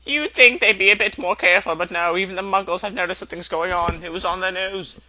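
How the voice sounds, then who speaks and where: A man's voice: high voice, fast talker, bright, forceful tone, no echo, voice pushed up, rough recording. A man voicing a severe, impatient older woman, a witch professor in a storybook, with a clipped, scolding manner. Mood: indignant.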